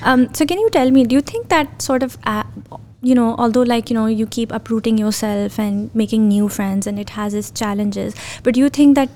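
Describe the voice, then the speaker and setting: Mellow-voiced woman hosting a podcast. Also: on the high side, normal speed, few pauses, slight background noise. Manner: friendly, conversational. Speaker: podcast host. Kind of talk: podcast interview.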